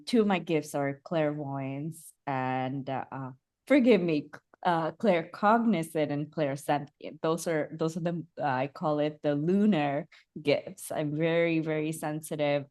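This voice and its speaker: A young woman from Bangladesh, a holistic coach, talking about her life in a soft, reflective way with many fillers and restarts. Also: sits low. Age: young adult. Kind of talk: casual interview chat.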